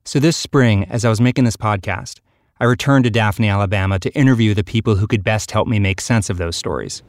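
A man hosting a podcast, giving calm, measured scripted documentary narration. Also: fairly low pitch, no room sound, clean studio sound.

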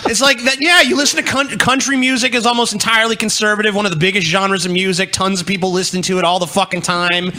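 A man's voice: high-pitched, fairly even intonation, fast, hardly any pauses, sharp tone. A man co-hosting a podcast with blunt, sarcastic, profanity-heavy political commentary. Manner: blunt, argumentative, sarcastic, swears heavily. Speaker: podcast co-host.

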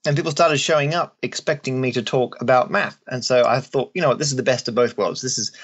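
An Australian man speaks conversationally, like a guest answering interview questions. His voice sits at an average pitch for a man. He tells things in a wry, jokey way. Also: quick pace, dull audio. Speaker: guest, stand-up comedian and mathematician.

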